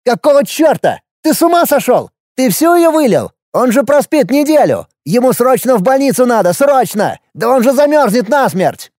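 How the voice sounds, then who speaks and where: A man voicing an anxious, exasperated younger brother, a sharp-tongued medical student who scolds in an audiobook story. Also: high-pitched, animated, moderate pace, dry recording, studio quality, voice pushed up. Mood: alarmed. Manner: agitated, scolding.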